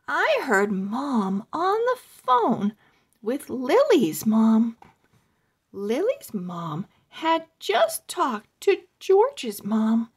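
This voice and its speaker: A woman with a high, lively voice reads a children's story aloud. She goes extremely slowly, setting out each phrase deliberately so young readers can follow along. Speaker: host reading children's books aloud. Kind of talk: slow, expressive children's read-aloud.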